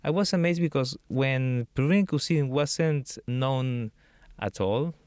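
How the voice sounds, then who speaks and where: A Peruvian man, a chef, answering interview questions in a relaxed way, thinking aloud with many fillers. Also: mid-range voice, unhurried, smooth, dry recording, clean studio sound. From Peru. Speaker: chef and cookbook author.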